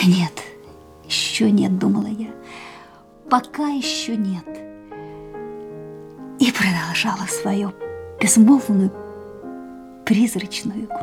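Restless young woman, dreamy, introspective, dark mellow tone, literary radio drama, faint music. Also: on the high side. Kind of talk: radio drama acting, intimate monologue. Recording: radio play with music underneath.

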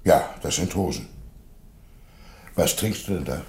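A Germanic warrior with a deep voice. He is mild, curious and courteous, speaking in a matter-of-fact, genial way within a theatrical radio play. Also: lively intonation, a little slow, gravelly, darkish tone, exaggerated voice.

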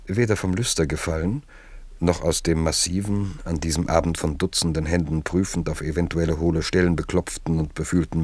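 A man narrating a radio play as a formal, dryly ironic storyteller, measured, literary and deadpan. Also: low voice, breathy edge, dry recording.

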